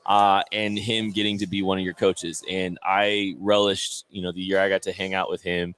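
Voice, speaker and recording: A man's voice: deep voice, little pitch movement, moderate pace, fairly bright tone, smooth. A man hosting a live draft stream from a sports bar, chatting casually, laughing often and announcing picks.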